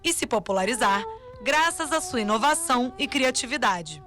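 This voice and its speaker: A woman giving scripted narration for a radio documentary in a measured, formal way. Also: on the high side, lively intonation, a bit bright, soft background music.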